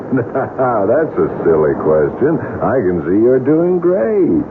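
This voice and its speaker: An actor playing a menacing gangster in a radio drama, mockingly genial, with taunting, drawn-out tough-guy talk. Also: mid-range voice, big pitch swings. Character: menacing male gangster collector, mockingly genial, coolly threatening. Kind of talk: 1970s radio drama acting. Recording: noisy muffled old radio broadcast.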